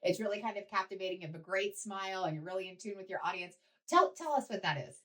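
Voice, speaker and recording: Quick, upbeat woman podcast host. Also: mid-range voice, a bit bright. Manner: upbeat, encouraging interviewer. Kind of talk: podcast interview.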